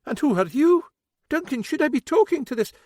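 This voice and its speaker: A man's voice: extremely high pitch, lively intonation, fairly quick, darkish tone, no echo, clean studio sound, pitched above the performer's own voice. A man voicing a timid older Scottish woman, a fretful cook, with a nervous, fussy manner and a Scottish accent. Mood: anxious.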